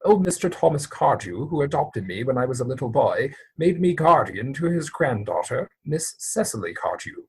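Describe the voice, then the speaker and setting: A man playing a proper, earnest young English gentleman, evasive and easily exasperated, speaking formally in a dramatic reading of a Victorian stage comedy. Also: mid-range voice, sing-song, normal speed, hardly any pauses, husky, heavily acted, low-quality recording. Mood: earnest explaining. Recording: home recording over video call.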